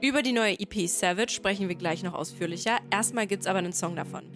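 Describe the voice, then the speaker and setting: A woman radio presenter reading a scripted introduction in a smooth, upbeat way. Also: medium pitch, quick pace.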